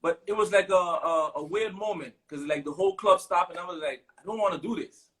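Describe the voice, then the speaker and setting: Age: 30s. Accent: Sint Maarten Caribbean. Man, fairly high voice. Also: fairly quick, crowd noise. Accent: Sint Maarten Caribbean English. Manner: relaxed storytelling with many fillers. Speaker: guest musician. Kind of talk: casual interview chat.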